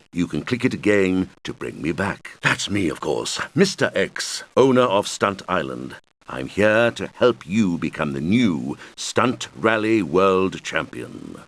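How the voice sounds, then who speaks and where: A man voicing a suave, showy island owner and racing champion who guides players through a video game in a theatrical, deliberate, instructive manner. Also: low voice, exaggerated voice. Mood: showy, playful. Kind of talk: scripted game tutorial voice-over.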